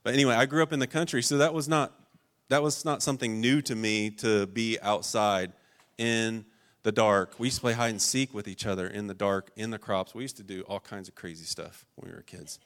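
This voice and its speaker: A man delivers a sermon in a fairly low voice. He talks earnestly and emphatically, with louder bursts on key points and softer confiding asides. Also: fairly even intonation, a little fast. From rural Ohio. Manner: earnest and emphatic, swinging between raised stresses and quiet personal asides. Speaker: pastor.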